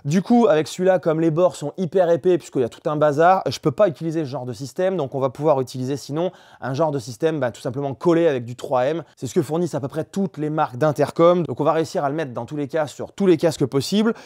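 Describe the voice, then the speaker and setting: A man with a voice slightly higher than average. He reviews gear in a casual vlog style, talking frankly and colloquially, as if chatting with fellow riders. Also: fast, hardly any pauses, dark tone. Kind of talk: casual product review to camera.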